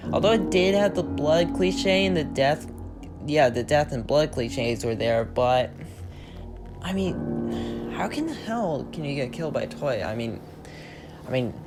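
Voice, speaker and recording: Young man reading a creepypasta over music. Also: a little high. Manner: amateur reading with stumbles and self-corrections. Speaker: creepypasta reader. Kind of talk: reading a creepypasta aloud, then casual commentary. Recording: music playing behind the voice.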